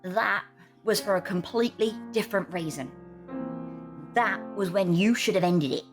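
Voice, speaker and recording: A mid-pitched woman, acting in an audio drama. She is a blunt, streetwise friend given to cautioning, heard over prominent music. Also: normal speed, lightly acted. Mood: scolding, concerned. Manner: blunt, scolding.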